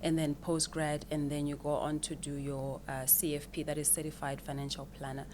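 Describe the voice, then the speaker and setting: A woman's voice: deep voice, level tone, brisk, darkish tone, a little hiss or hum. A woman from Zimbabwe, a financial planner answering interview questions in an explanatory way, often repeating words as she thinks. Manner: explanatory, with frequent repeated words and small laughs.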